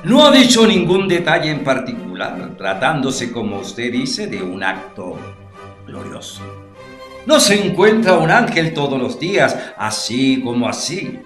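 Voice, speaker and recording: An actor playing a combative, sarcastic prosecutor in a radio courtroom drama, badgering and declamatory. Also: male voice, medium pitch, sing-song, measured pace, a bit bright. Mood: probing, skeptical. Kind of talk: theatrical radio drama acting. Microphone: studio microphone on a stand. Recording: roomy sound with music behind.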